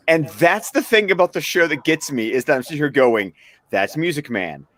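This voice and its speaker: A man with a slightly high-pitched voice talks casually on a fan livestream panel. His delivery is excitable and conversational, and the sound is dry, with very little room. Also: normal speed, no echo. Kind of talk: casual excitable group chat about shows.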